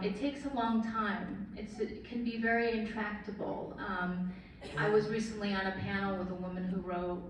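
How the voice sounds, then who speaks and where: A woman on a writing panel thinks aloud, pausing and restarting as she searches for words. She is recorded from the back of a very echoey hall, with a faint hum of the room behind her. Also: medium pitch, distant mic. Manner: searching, halting, many fillers. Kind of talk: thoughtful panel talk.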